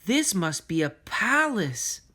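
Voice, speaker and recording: Small innocent toy bear, played by a man, earnest and wondering, gently lifted pitch, storybook style. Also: sing-song, slow pace, exaggerated voice.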